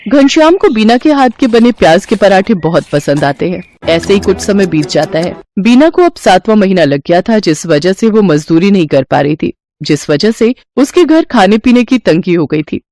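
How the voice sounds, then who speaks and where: A woman narrating a moral story in a calm, scripted storytelling manner. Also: medium pitch, normal speed, few pauses, no room sound.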